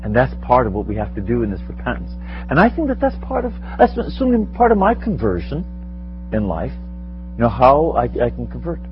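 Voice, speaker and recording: Lively-pitched man giving a rambling talk. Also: brisk, muffled recording, faint music behind. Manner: conversational, rambling, self-deprecating, chuckles often. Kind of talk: informal spiritual teaching with audience questions. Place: meeting room with a live audience.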